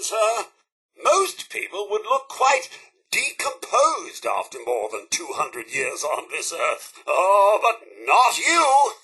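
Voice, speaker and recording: Fussy british robot butler for a game, super animated n bright, bit high, very prim and polite. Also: male voice, breathy edge, full character voice. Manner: formal, servile, effusively polite. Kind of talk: video game character voice acting.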